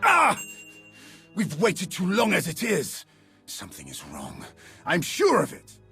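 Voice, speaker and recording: A man playing a volatile, impatient game hero with a raspy voice and a forceful, emotional delivery. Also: high-pitched, wildly swooping pitch, slow pace, soft background music, full character voice. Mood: agitated.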